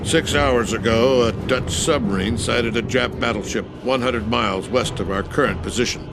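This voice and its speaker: Actor as stern older admiral. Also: male voice, medium pitch, normal speed, very noisy, close to an everyday voice. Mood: grave briefing. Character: stern older American admiral, commanding, fatherly. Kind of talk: video game cutscene dialogue. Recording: music behind the voice.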